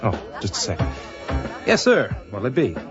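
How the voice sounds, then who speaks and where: A man's voice: fairly low pitch, huge pitch swings, normal speed. A man playing a breezy, joking bartender in an audio drama, with a casual, wry way of talking. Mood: amiable, wry. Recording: dull lo-fi, loud music behind.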